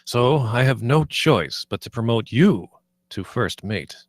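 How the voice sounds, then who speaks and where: A man's voice: mid-range voice, expressive, a little slow, no room sound, clean studio sound. A man playing a blunt, irritable spaceship captain in a sci-fi comedy table read. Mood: commanding, irritable.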